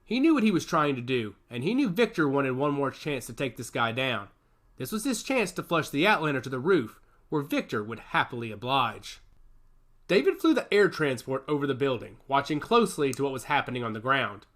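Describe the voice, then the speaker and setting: Bright, lively male story narrator. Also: mid-range voice, brisk. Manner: expressive storytelling. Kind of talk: scripted narration.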